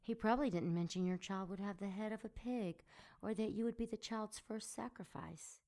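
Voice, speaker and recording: A woman playing a sardonic, seductive succubus demon in an audio drama, sultry and mocking in a deliberate way. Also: medium pitch, darkish tone, close to an everyday voice. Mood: soothing seduction.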